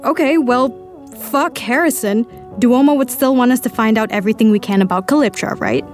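An actress playing a pragmatic young lab scientist, brisk and informal, in an audio drama. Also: female voice, on the high side, soft background music, close to an everyday voice. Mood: brisk, determined. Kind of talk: full-cast audio drama acting.

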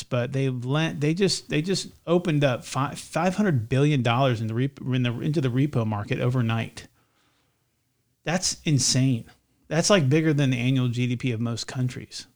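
A man with a slight lisp, chatting casually as a podcast host in a halting way, often restarting his phrases. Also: medium pitch. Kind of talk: casual co-hosted podcast chat.

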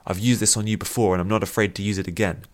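A man's voice: on the low side, steady tone, very fast, close to an everyday voice. A man voicing a fierce, threatening woman with a pistol in an audiobook story, snarling her commands. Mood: stern threat.

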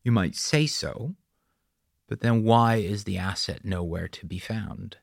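Man acting as audiobook villain boss, husky, low, menacing, sarcastic, curt. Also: unhurried, no room sound, studio quality. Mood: hard, distrustful.

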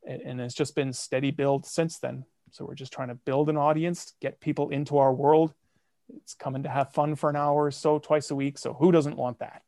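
A man's voice: medium pitch, fairly even intonation, moderate pace, dark tone, no room sound, studio quality. A man who makes a comedy fiction podcast, describing his show as an interview guest, in an explanatory and enthusiastic way. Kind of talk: casual interview.

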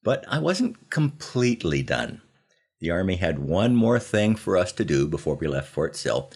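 A man's voice: low voice, sing-song, normal speed, darkish tone, husky. An American man in his seventies hosting a podcast, telling personal stories from a script in a folksy, conversational way. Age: 70s. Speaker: podcast host.

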